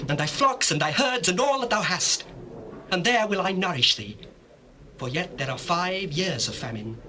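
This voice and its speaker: A man's voice: sits high, bright, forceful tone, a little hiss or hum, exaggerated voice. An actor playing an emotional governor of Egypt addressing his family in a dramatized scripture on old cassette tape. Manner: fervent, declamatory. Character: Joseph, emotional lordly governor of Egypt, tender brother. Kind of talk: dramatized scripture, theatrical stage acting.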